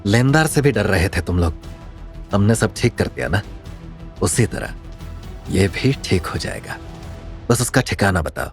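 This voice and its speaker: Humble young male tea vendor, acted by a narrator: polite, protective, rustic phrasing, expressive intonation. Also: lowish voice, unhurried, gravelly, long pauses, soft background music. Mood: calm, resolute. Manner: rustic polite Hindi, calm but firm. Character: kind, principled young male tea seller, protective, earnest.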